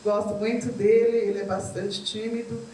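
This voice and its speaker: A woman's voice: medium pitch, little pitch movement, normal speed, dark tone. A middle-aged woman from Brazil, telling her own story as a guest in a chatty way with many tag questions. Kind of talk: casual chat. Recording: echoey room from far off, faint noise.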